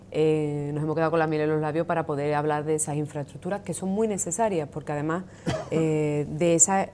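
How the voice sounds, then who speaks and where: A woman with a Sevillian Andalusian accent, a trade union leader giving deliberate interview answers with frequent fillers. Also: sits low, dark tone, slight background noise. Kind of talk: measured political interview answers. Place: television studio.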